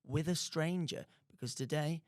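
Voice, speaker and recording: A man's voice: fairly high pitch, normal speed, darkish tone, dry recording. A man hosting a live radio show from a studio. He jokes with his guest and rambles with lots of restarts and fillers. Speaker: radio host. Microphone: studio mic.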